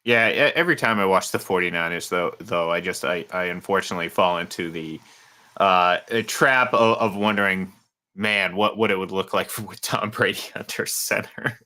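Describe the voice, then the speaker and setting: A man's voice: deep voice, moderate pace, pushed and bright. A man in his thirties co-hosting a casual sports talk show, rambling with many restarts and fillers. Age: 30s. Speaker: sports radio co-host.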